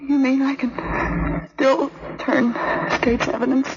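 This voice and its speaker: High-voiced, bitter moll. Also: female voice, little pitch movement, slow, heavy background noise. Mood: subdued, giving in. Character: frightened, bitter female accomplice of a killer. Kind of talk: 1940s radio melodrama acting. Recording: muffled 1940s radio recording, music behind.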